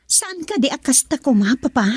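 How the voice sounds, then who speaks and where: A young Ilocano woman in a radio love drama, dutiful and wounded in love. Her voice sits high, with an Ilocano accent, recorded close and dry. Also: big pitch swings, moderate pace, darkish tone, no echo. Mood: sad, resigned.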